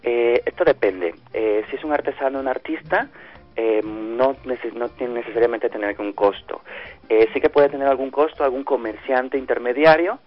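A man from Ecuador talks over a dull, lo-fi phone line at a middle pitch. He hesitates often and starts his sentences over, yet he speaks warmly and eagerly about his hometown's food and festivals. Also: moderate pace, faint music behind. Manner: hesitant, many fillers and restarts, enthusiastic about his town. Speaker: festival organizer guest. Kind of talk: radio interview answers.

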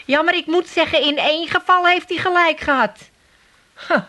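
An actress playing a bossy, sharp-tongued Amsterdam woman who puts on mock-official authority in a comic 1980s radio drama. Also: very high voice, normal speed. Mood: assertive.

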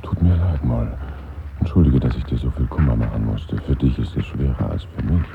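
An actor in a German radio play voicing a bitter, weary, anxious dead man at his own funeral, gravelly and brooding. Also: very deep voice, lively intonation, fairly quick, music in the background, full character voice. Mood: apologetic, resigned. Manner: brooding monologue to absent listeners. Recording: muffled phone-like sound, strong background noise.

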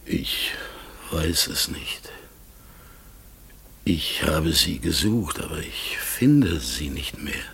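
A man acting in a German radio play as a gentle, melancholy talking raven, with a husky, gravelly voice and halting, hushed delivery. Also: a bass voice, very animated, in a whisper, exaggerated voice. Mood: desolate.

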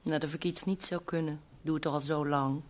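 An actress playing a complacent, homely housewife in a radio play, breezy and dismissive of big worries. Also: female voice, sits low, steady tone, fairly quick, natural voice. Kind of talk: radio play acting, with verse set to piano music.

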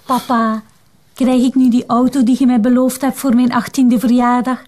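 A woman's voice: a little high, level tone, moderate pace, a little mellow, lightly acted. A woman with a Flemish accent playing a defiant, sarcastic teenage daughter who taunts and provokes in a TV drama. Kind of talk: TV drama dialogue.

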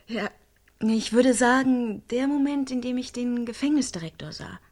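Actress as higher-voiced, pondering studio guest. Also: female voice, moderate pace, darkish tone, natural voice. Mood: pensive. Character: thoughtful female studio guest recalling what she witnessed. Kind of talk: conversational interview answers in radio drama.

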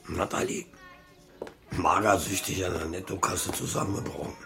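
A man's voice: low-pitched, sing-song, moderate pace, vocal fry, fairly bright tone, full character voice. An actor playing a dim, blunt, menacing ex-convict father in a radio crime drama, speaking in simple phrases. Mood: blunt, casual.

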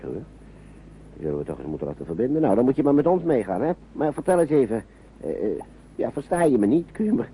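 A man's voice: mid-range voice, warm tone, natural voice. An actor in a radio play voicing a kindly, anxious man shepherding children, fussy and reassuring, often repeating himself. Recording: noisy old radio play recording.

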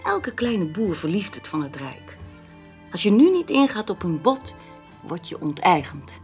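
A woman's voice: on the low side, sing-song, unhurried, soft background music. An actress playing a frank, persuasive country woman in a radio play, confiding and insistent in plain words. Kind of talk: naturalistic radio play dialogue.

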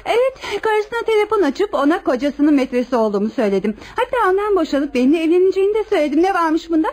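An actress playing a brazen, defensive mistress under questioning in a radio play, dramatic and plaintive. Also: female voice, extremely high pitch, very animated, fast talker, a little mellow. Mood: defiant. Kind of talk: radio theatre acting.

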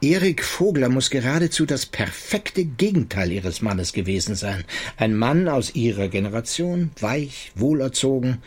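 An actor playing a persistent, earnest police inspector in a radio drama, speaking deliberately and gravely. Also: male voice, medium pitch, very animated. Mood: grave, insistent.

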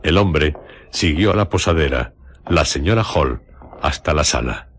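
A man narrating a radio drama as a solemn storyteller, in deliberate, carefully separated literary phrases. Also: very deep voice, expressive, slight background noise. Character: solemn, measured male storyteller.

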